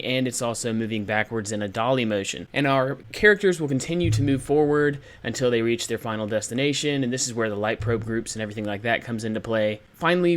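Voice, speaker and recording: A man with a mid-pitched voice narrates a screencast tutorial as its host. He talks informally and walks through each step in a casual, practical way.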